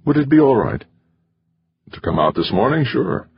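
A man voicing an earnest young woman scientist who speaks softly. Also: medium pitch, very animated, moderate pace, vocal fry, husky, no echo, lo-fi sound, voice pushed up, exaggerated voice.

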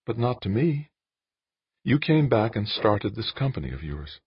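A man's voice: on the low side, sing-song, unhurried, slightly rough, dry recording, highs cut off, voice pushed up, heavily acted. A man voicing an anguished woman politician in an audiobook, earnest and pleading with her lover. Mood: strained, reproachful. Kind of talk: audiobook character dialogue.

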